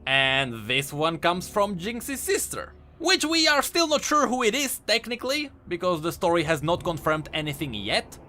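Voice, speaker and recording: Very animated, high-voiced man explaining. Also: normal speed, few pauses. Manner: emphatic, explanatory. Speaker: host of a video game lore channel. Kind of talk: enthusiastic scripted commentary. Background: music.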